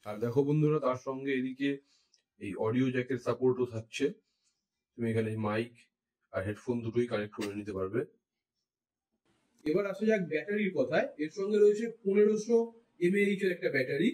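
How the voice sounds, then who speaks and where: A man's voice: mid-range voice, expressive, fairly quick, long pauses, darkish tone. An Indian man with a Bengali accent giving a casual product review, explaining things to viewers and mixing in English phrases. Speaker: tech reviewer, vlogger. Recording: roomy echo, distant mic.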